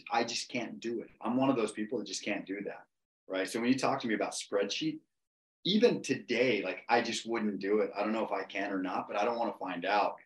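A man's voice: on the low side, low-quality recording. A man in his forties, a podcast guest, talking in a passionate, emphatic way and swearing for emphasis. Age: 40s. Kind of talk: casual interview talk.

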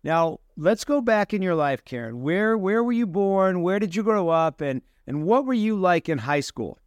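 A male podcast host introduces his guest and asks her friendly questions about her life. His voice sits a little high and sounds crisp, and it is recorded dry and close. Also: lively intonation, moderate pace, no echo. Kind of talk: interview hosting.

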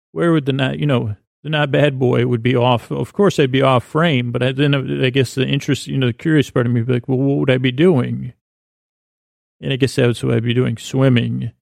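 A man hosting a podcast, talking in a slow, meandering, lulling way as he drifts from thought to thought. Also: medium pitch, vocal fry, darkish tone, no echo, clean studio sound. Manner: slow meandering soothing delivery. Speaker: podcast host. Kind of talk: rambling sleepy podcast intro monologue.

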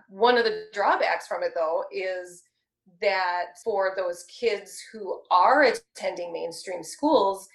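A woman in her thirties speaking earnestly and measuredly in a casual discussion, pausing often with ums. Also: medium pitch, reverberant, poor audio.

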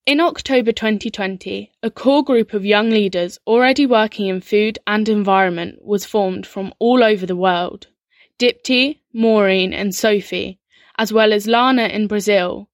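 A woman's voice: mid-range voice, dry recording. Woman doing earnest documentary voiceover, dry close sound. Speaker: narrator. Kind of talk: scripted documentary narration.

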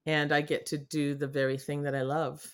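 Middle-aged woman, faint boston accent, animated, laughs a lot, video call. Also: low voice. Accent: Boston, mostly lost. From New England. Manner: animated, laughs often, with pauses and restarts. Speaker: guest artist. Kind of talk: casual interview chat. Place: home studio in front of her paintings.

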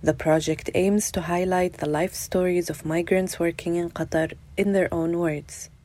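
A woman's voice: fairly low pitch, steady tone, normal speed, warm tone. A woman giving a scripted, formal introduction to a podcast series. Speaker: podcast presenter.

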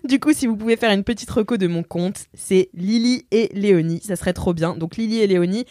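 A woman hosts a casual podcast chat, chatty and quick to laugh as she reads listener messages aloud. Her voice sits at a middle pitch, close and dry with no room sound. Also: warm tone, no echo. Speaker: podcast host.

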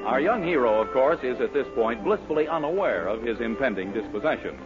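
A man's voice: mid-range voice, lively intonation, brisk. A male announcer giving mock-grand scripted narration in 1940s radio style. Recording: muffled 1940s radio recording. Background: loud music.

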